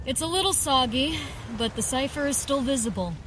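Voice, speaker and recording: A brash young superheroine, high and very lively in pitch. She wisecracks wryly in a radio drama, with sirens and noise behind her. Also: a little fast. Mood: wry, matter-of-fact. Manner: wry, brash. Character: plucky, wisecracking young female vigilante heroine.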